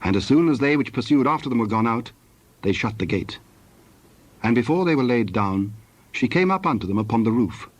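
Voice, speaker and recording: A man reads scripture as a solemn narrator. His voice is husky and medium in pitch, carrying a formal, scripted storytelling delivery. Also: expressive, fairly quick, low-quality recording.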